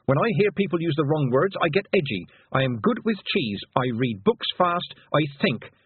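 A man's voice: sits high, huge pitch swings, quick pace, breathy edge, no echo, phone-quality sound, voice pushed up, heavily acted. A man voicing an earnest, logical young girl in a storybook, with a precise, assertive, pedantic manner. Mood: proud, insistent.

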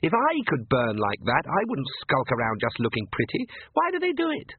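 A man's voice: medium pitch, huge pitch swings, normal speed, slightly rough, no room sound. A male narrator voicing a whiny, spoiled young vampire daughter who complains about everything. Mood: whiny, petulant. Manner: whining, petulant complainer. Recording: tinny phone-like band.

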